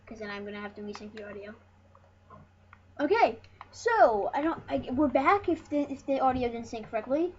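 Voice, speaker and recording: An excitable, rambling host giving casual, jokey commentary over a video game. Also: mid-range voice, normal speed. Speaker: host of a gaming channel. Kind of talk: casual let's play commentary. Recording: dull lo-fi mic with loud noise.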